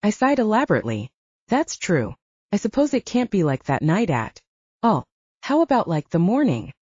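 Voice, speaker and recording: A woman acts out a brooding young male werewolf in love, in audiobook dialogue. He is wry and teasing, with a dark, soft tone and animated phrasing, recorded dry. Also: mid-range voice, moderate pace, husky, no room sound, lo-fi sound. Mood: wry, pleading.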